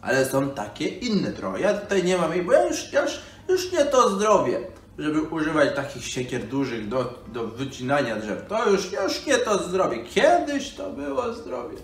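A man's voice: on the high side, wildly swooping pitch, moderate pace. A man playing a nostalgic old storyteller, rambling folksily to children with hesitations and sighs. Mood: animated recounting. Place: living room by a fireplace. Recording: roomy, distant microphone, music behind.

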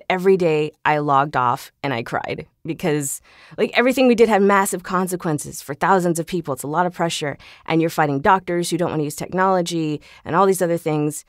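A woman's voice: fairly low pitch, dry recording, clean studio sound. A woman chatting casually as an interview guest, laughing easily as she talks. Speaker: podcast guest, voice actor. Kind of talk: casual conversational interview talk.

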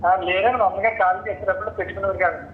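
A man's voice: high-pitched, steady tone, rapid-fire, a little hiss or hum. A man on a phone call, giving brief, polite and hesitant replies. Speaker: phone caller. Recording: muffled, echoey phone line.

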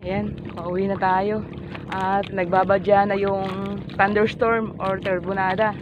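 Filipina fishing vlogger on a noisy boat. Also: female voice, medium pitch, level tone, takes it slowly. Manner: chatty, mixing Filipino with English sign-offs. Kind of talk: casual vlog narration. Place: small fishing boat at night, then indoors at home. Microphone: phone camera at arm's length. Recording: loud engine and water noise on the boat.

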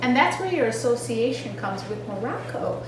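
A woman's voice: fairly high pitch, lively intonation, normal speed. A young woman in her twenties chatting with family, teasing and argumentative, cutting in often. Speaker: family vlog co-host. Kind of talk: casual family chat. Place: living room at a round dining table. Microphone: far camera. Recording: echoey room from far off, noisy.

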